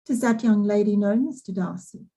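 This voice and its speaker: An actress plays a proud housekeeper in a period drama. Her medium-pitched voice is dark and husky. She speaks earnestly and deferentially, devoted to the house she serves. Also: normal speed, close to an everyday voice. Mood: fond pride. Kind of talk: staged reading of period drama.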